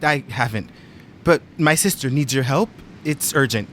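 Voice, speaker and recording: A man's voice: mid-range voice, moderate pace, a little hiss or hum, lightly acted. A man playing an earnest, reverent islander who is devoted to a mystic, in a comedic audio drama. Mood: pleading, reverent.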